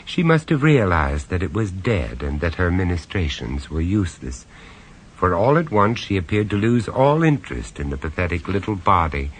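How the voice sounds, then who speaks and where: A man narrating a radio horror story in the first person, measured and dramatic, with dry sarcasm when he speaks to the others. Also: deep voice, very animated, a little hiss or hum, low-quality recording. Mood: grave. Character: grave, wry male storyteller on a castle tour.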